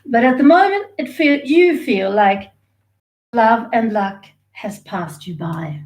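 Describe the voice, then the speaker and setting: A woman's voice: fairly high pitch, lively intonation, slow, a little mellow. A woman, from Sweden and Australia, giving a chatty, rambling live tarot reading and laughing easily. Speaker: tarot reader.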